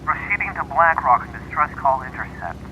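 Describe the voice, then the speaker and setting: An actor playing a brisk ship's radio operator, clipped and official, through a radio filter in an old-time radio drama. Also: male voice, a little high, animated. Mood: urgent, official. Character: brisk male patrol-ship radio operator. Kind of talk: 1940s radio drama acting. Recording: muffled radio transmission with clear noise.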